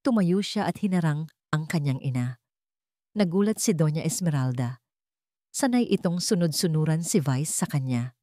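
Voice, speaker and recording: Lady reading a dramatic story, kinda low voice, super expressive, dry sound. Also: moderate pace, no echo. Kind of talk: dramatic scripted story narration.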